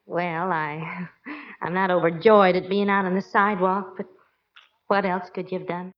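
An actress playing a sensible, affectionate but exasperated wife in a 1940s radio comedy, with an expressive, dramatic delivery. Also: female voice, fairly low pitch, normal speed, natural voice. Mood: tender, resigned. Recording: muffled vintage radio recording.

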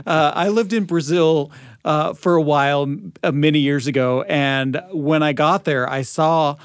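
An American man speaks casually as a radio panelist. His voice is slightly high, recorded dry and close in a studio. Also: no echo. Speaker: producer, panelist. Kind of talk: casual chat.

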